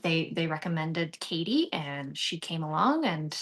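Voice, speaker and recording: Animated American woman in casual conversation. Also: low-pitched, unhurried. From San Antonio, Texas, United States. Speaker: interview guest, actress and swing band musician. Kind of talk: casual conversational interview, personal storytelling.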